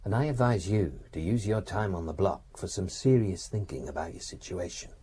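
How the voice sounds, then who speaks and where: A man playing a coldly reasonable, patronising prison official in a radio drama, probing and advising with measured authority. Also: sits low, warm tone, husky. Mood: stern, advising.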